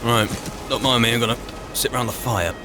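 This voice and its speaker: A man's voice: mid-range voice, fairly even intonation, fairly quick, very noisy. A British man doing in-character roleplay commentary on a video game, talking wryly and conversationally as if muttering to himself. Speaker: gamer playing a roleplay character. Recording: voice over game music. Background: video game music and sound.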